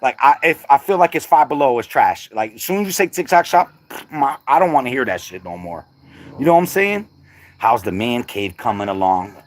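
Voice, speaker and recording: A middle-aged man hosting a casual livestream, talking to his viewers in a loose, slangy way and laughing easily. His pitch jumps around a lot, rising high on his asides and dropping low when he turns earnest, in a mid-range voice. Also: sing-song, brisk, a little hiss or hum. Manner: laid-back, laughing often, slangy asides. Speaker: livestream host.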